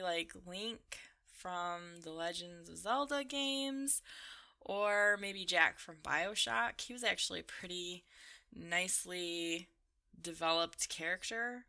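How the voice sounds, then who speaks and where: Bright-voiced woman chatting casually about games. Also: fairly low pitch. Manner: informal, rambling, giggly. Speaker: video game vlogger. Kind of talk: casual chat, answering a list of questions over gameplay.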